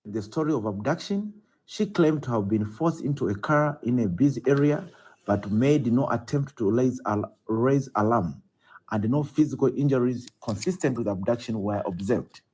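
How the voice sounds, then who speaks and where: A man's voice: mid-range voice, lively intonation, moderate pace, darkish tone, breathy edge. A man with a Zambian accent reading out a formal statement as an official spokesperson.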